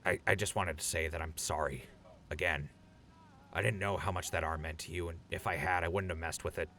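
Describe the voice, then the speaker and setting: A gruff, loyal wastelander from an audio drama a man acting. The voice is low and full of gravel, with a sharp forceful edge. He talks in an awkward, hesitant, apologetic way. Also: fairly even intonation, a little fast, a little hiss or hum. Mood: remorseful.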